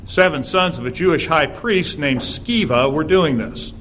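A man with a raspy, slightly higher voice preaches as a visiting pastor in a church, reading scripture and then telling stories in an earnest, measured way. A faint hiss of room ambience sits behind him. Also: animated, a bit bright. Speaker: guest preacher.

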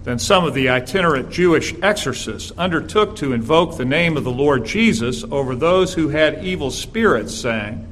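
A man with a raspy voice, a guest preacher delivering an earnest sermon in a church and reading scripture aloud. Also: a little high, animated, a bit bright, a little hiss or hum. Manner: earnest, measured preaching with filler "uh"s.

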